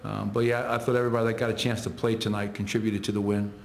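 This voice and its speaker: Low, flat-voiced coach in echoey room. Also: male voice, vocal fry. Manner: measured, reels off stats in clipped phrases. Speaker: head coach. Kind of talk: post-game press conference answers. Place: arena press room. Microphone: table microphones.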